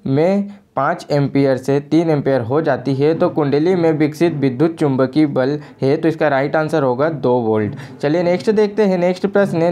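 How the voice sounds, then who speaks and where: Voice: mid-range voice, a little mellow. An exam coaching teacher with an Indian accent, reading out questions and answers in a repetitive, formulaic lesson delivery.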